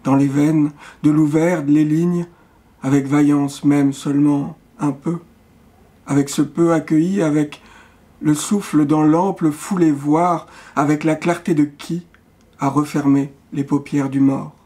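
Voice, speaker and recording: A man reads poetry in a mellow, fairly high voice. His delivery is very even and measured, broken into short fragments with pauses between them. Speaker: poet. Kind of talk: poetry reading.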